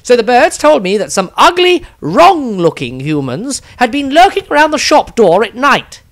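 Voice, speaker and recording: A man's voice: extremely high pitch, very animated, moderate pace, a bit bright, voice pushed up, full character voice. A man voicing an eccentric, grumbling wizard diarist in a fantasy story, theatrical, rambling and wry. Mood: indignant, chatty. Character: eccentric, grumbling, self-satisfied male wizard adventurer diarist.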